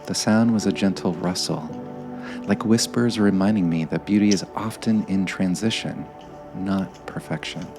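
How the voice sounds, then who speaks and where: A man narrating a scripted guided meditation in a gentle, measured way, with frequent pauses. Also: sits low, over music.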